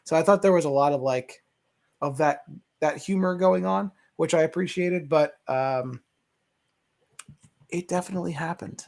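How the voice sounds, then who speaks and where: A man's voice: fairly high pitch, normal speed, gravelly, long pauses, a little mellow. A man giving his opinions as a panelist in a casual movie discussion.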